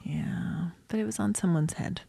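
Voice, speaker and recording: A woman's voice: fairly low pitch, moderate pace, creaky, warm tone, slightly rough, dry recording, clean studio sound. An American woman co-hosting a podcast, chatting casually and telling stories in a wry, mock-serious way. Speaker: podcast co-host. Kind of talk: casual chat and storytelling.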